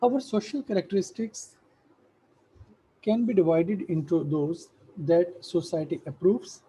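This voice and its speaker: Man, middle-aged, lecturing slowly; husky, mellow tone, a bit higher than most men. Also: rough recording. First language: Urdu. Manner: slow, measured, with hesitant fillers. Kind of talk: academic lecture.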